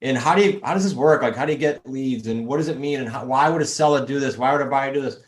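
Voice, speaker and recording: A man with a New England accent, a podcast guest talking energetically and laying out steps. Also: fast talker. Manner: energetic, pitching steps and links. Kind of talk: casual interview talk. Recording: echoey room.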